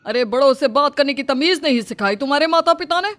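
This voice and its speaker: A man's voice: very high voice, expressive, fast talker, a bit bright. An actor playing a stern, domineering father-in-law in a family story, lecturing and reproachful. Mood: scolding.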